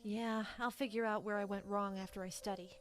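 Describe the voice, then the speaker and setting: A woman playing a driven, aloof young pony student, serious and curt, in an animated audio drama. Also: mid-range voice, a little fast, music in the background, close to an everyday voice. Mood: distracted, curt.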